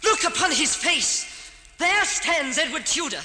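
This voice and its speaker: A man's voice: extremely high pitch, expressive, measured pace, sharp tone, exaggerated voice. An actor playing an earnest, guilt-ridden young pauper mistaken for king, in formal old-time radio drama style. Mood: urgent, pleading. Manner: formal, archaic, impassioned delivery. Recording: noisy old radio recording, long reverb.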